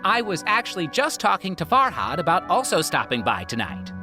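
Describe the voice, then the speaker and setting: An upbeat, teasing townsperson in a video game. The voice leaps wildly in pitch, playful and breezy. Game music plays clearly behind. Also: huge pitch swings, fairly quick. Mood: cheerful.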